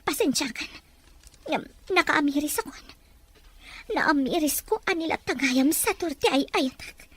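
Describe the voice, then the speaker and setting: Woman acting a high-voiced, emotional, long-suffering mother. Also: animated, normal speed. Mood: hurt, firm. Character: a long-suffering, firm but tender mother. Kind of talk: Ilocano radio-drama acting.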